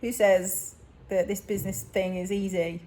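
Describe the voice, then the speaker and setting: A woman with a British accent, chatting casually and self-mockingly to her phone camera, laughing often, her voice a little croaky and sleepy. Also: mid-range voice, level tone, moderate pace, mellow, a little hiss or hum. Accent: British English. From England. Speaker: vlogger. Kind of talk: casual vlog chat.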